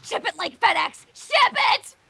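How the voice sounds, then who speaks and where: A woman co-reading on a livestream with casual, playful banter and an excitable, theatrical manner, on a noisy recording. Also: very high-pitched, unhurried, creaky. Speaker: co-reader on a livestream.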